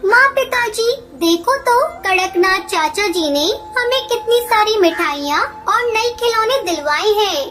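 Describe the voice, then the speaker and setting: A woman's voice: extremely high pitch, animated, a little slow, hardly any pauses. A woman voicing a poor, earnest and stubborn young girl bird in a children's cartoon. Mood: excited. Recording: music under the voice.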